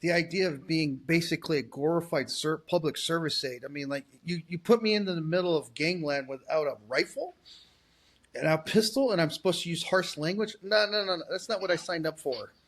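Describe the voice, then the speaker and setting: A man's voice: fairly high pitch, a little fast, fairly bright tone. A man in his forties co-hosting a casual podcast, blunt and profane, rambling with frequent restarts. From United States. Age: 40s. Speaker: podcast co-host. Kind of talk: casual political chat.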